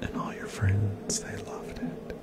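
A middle-aged man whispering a slow, soft second-person story for ASMR into a windscreen mic in a small dim room. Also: loud music behind the voice. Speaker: ASMR creator. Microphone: fluffy windscreen mic on stand.

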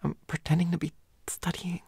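A young woman acting as a flustered, pouty teenage schoolboy in an intimate roleplay audio, stammering and often hushed to a whisper, indignant but secretly smitten. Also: on the low side, little pitch movement, normal speed, gravelly, breathy edge, dry recording, full character voice. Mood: softening, shy.

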